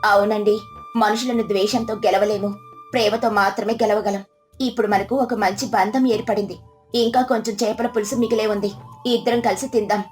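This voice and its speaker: A woman voicing a kind, humble young village wife in a gentle, respectful manner for a storybook tale. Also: medium pitch, steady tone, a little fast, soft background music, close to an everyday voice. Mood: content.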